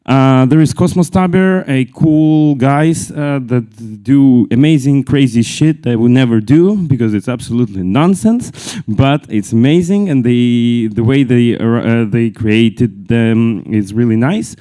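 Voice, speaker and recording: Guy presenting, lots of uhs and restarts, mellow voice. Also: medium pitch, clean tone. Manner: halting, with many fillers and restarts. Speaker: panel speaker. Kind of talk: conference presentation.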